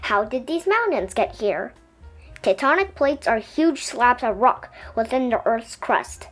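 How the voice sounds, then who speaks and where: A woman reads a picture book aloud for children. Her voice is high and highly melodic, explaining things expressively, with soft music behind her. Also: a little slow. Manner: expressive, explanatory storytelling delivery. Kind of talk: children's science book read-aloud, scripted narration.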